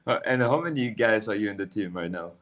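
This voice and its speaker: A man's voice: on the low side, expressive, quick pace, a bit bright. A man hosting a casual interview over a video call, repeating phrases with loose grammar. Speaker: host.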